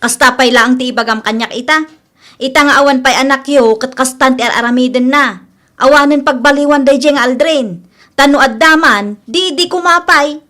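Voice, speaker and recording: A woman plays a protective young Ilocano friend in a relationship drama. She has a high voice and a blunt, chiding manner. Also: normal speed, pushed and bright. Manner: chiding, frank. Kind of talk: amateur audio drama acting.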